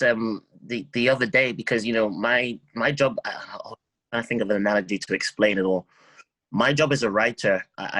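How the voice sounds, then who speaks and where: A man speaking as a songwriter guest in an interview, reflective and halting, often repeating words as he thinks. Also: on the low side, a bit bright, no echo, rough recording.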